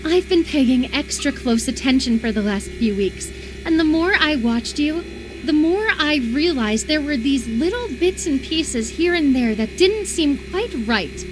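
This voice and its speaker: A woman playing an obsessive, sweetly menacing young female captor in a dramatic horror visual novel, coaxing with a sharp edge. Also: high voice, animated, measured pace, over music, low-quality recording. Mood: eerie, accusing.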